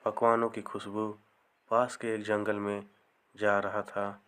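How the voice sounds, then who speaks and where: A man narrating a scripted comic folktale as a storyteller, in measured short phrases. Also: fairly low pitch, completely flat delivery, background noise.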